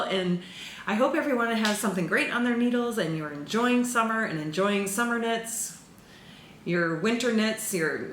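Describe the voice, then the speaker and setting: Chatty woman in an echoey room. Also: medium pitch. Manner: chatty, excitable, rambling with fillers. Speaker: knitting podcast host. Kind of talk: casual chat to camera. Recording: echoey room with faint background noise.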